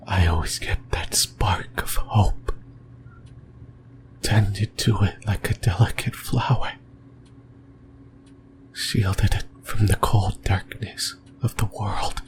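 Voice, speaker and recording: A man voicing a lonely, possessive demon in a breathy, brooding whisper for an ASMR roleplay. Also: fairly low pitch, steady tone. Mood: tender, yearning. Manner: slow, halting, brooding delivery. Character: lonely, possessive sleep paralysis demon, lost and yearning.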